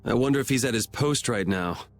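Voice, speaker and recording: A man's voice: medium pitch, a little fast, faint music behind, natural voice. An actor playing a weary, cynical young man in a video game, grumbling in a casual, exasperated way.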